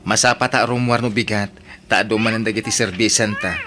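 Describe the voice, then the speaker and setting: A man's voice: lowish voice, steady tone, fairly quick, bright, forceful tone, faint music behind. A man acting a jealous, hurt middle-aged husband and doting father in a Filipino-accented radio drama, emotive and pleading. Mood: eager, coaxing. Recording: noisy radio drama recording.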